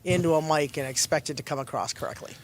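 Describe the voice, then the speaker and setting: A woman chatting casually in a group in an excitable, joking manner. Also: deep voice, fast.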